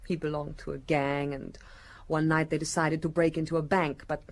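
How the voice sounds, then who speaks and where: An actress playing an evasive, cunning female criminal under questioning, halting and defensive, in a radio murder mystery. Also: deep voice, level tone. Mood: subdued confession.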